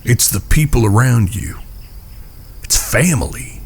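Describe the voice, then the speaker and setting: Serious protective cowboy, earnest, audio drama. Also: male voice, medium pitch, lively intonation, unhurried, slightly rough, full character voice. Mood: resigned, gentle. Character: grave, protective cowboy outlaw, eldest-brother type. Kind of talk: western audio drama acting.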